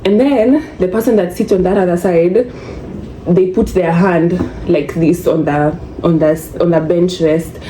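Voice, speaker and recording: A young Kenyan woman with a low, mellow voice talks in a chatty, easygoing vlog. She mixes English with Swahili slang. The recording sounds echoey, with a faint hiss behind her. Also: fairly even intonation, measured pace. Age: young adult. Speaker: vlogger. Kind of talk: casual storytelling vlog. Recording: echoey room with faint noise.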